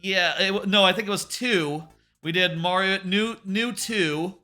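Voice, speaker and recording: A man livestreaming a game, talking casually in a loud voice that rambles and corrects itself, sitting about an arm's length from his microphone. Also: high voice, unhurried, soft background music. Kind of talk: casual live gameplay chat.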